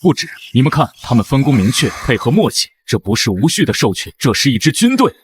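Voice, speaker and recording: Brisk, husky imperial marshal. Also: male voice, medium pitch, very animated, dry recording, clean studio sound. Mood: tense, alert. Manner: formal, brisk. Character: analytical, formal imperial marshal, dutiful strategist. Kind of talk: animated drama dubbing.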